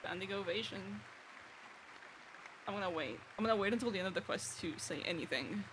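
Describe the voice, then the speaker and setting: A woman's voice: mid-range voice, lively intonation, a little fast, background noise. A young woman streaming a game, giving casual live reaction commentary. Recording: streamer mic over game music.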